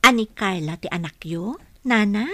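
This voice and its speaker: A woman's voice: medium pitch, animated, moderate pace, sharp tone, no room sound. A woman with an Ilocano accent playing a plucky, defensive young clerk who questions and talks back in a radio drama. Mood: confused, cheeky.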